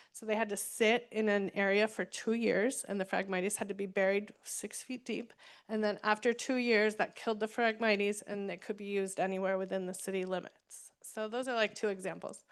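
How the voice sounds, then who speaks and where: A woman presenter answering questions after her talk, relaxed and conversational, with frequent fillers. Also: mid-range voice, clean tone. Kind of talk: casual Q&A answer.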